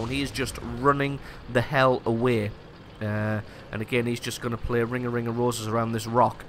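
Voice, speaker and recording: A man's voice: medium pitch, fairly bright tone, slight background noise. A man, congested from a cold, giving casual, self-deprecating commentary over his tank gameplay as host of a gaming channel.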